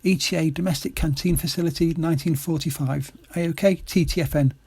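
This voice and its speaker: An amateur actor playing a pompous, brisk army officer who talks in clipped, patronising military jargon in a comic audio play. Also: mid-range voice, steady tone, mellow, slightly rough. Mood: officious, brisk.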